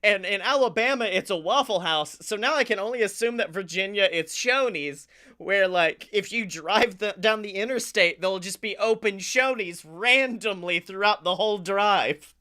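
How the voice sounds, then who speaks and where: An American man co-hosting a comedy podcast, telling stories casually in an animated, sweary way with chuckles in his speech. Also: sits high, normal speed. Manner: animated, swearing, often chuckling while talking. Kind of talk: casual comic storytelling.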